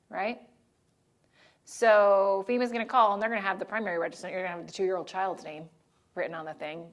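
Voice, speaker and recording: A woman leading a training session on disaster assistance, talking casually and checking in with the group as she goes. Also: mid-range voice. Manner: conversational, checks in with "right?" and "okay?". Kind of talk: training presentation.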